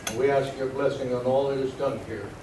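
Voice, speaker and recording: A man offers an invocation with solemn, measured phrasing. His voice sounds distant and washed in heavy reverb. Faint noise lies underneath. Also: medium pitch, steady tone. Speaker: prayer leader. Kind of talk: invocation prayer. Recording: echoey hall recorded from far off.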